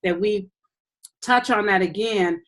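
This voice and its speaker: Woman podcast host, health coach, excited and easygoing chat. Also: medium pitch, a little hiss or hum. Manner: warm, enthusiastic, unhurried.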